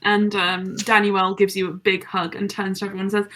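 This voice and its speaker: A woman's voice: medium pitch, flat delivery, a little fast, fairly bright tone. A young woman narrating a tabletop game as game master, chatty, with many fillers.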